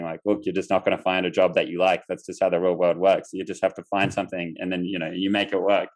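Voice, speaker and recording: A young man from Australia, a guest on an interview podcast, telling his story casually with lots of fillers and restarts. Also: sits low. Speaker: guest entrepreneur.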